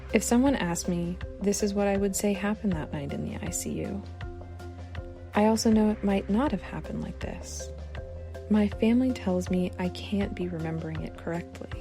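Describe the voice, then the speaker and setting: Low-pitched woman narrating over music. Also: vocal fry. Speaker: essayist. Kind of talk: reading a personal essay.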